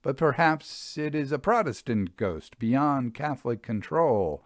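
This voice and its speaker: Man playing a playful Victorian gentleman ghost hunter. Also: medium pitch, lively intonation, dry recording, clean studio sound, natural voice. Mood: bantering. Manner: playful, mock-serious, drawn-out phrasing.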